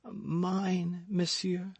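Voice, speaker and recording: A man's voice: high-pitched, very slow, dry recording, pitched above the performer's own voice, heavily acted. A man reading aloud voices a dying young woman who speaks weakly and breathlessly. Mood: faint, pleading. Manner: weak, breathless pleading.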